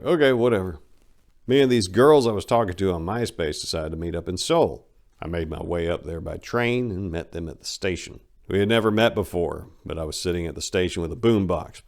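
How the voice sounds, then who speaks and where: A man's voice: lowish voice, darkish tone. A man narrating a first-person memoir as a dramatic reading, in a brash, wry, colloquial storytelling manner.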